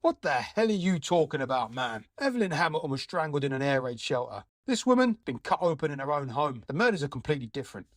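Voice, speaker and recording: An actor playing a large, gruff, contemptuous male chief inspector in an audio drama, brusque and confrontational. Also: on the high side, very animated, fast, hardly any pauses, dry recording, clean studio sound. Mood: gruff, scornful.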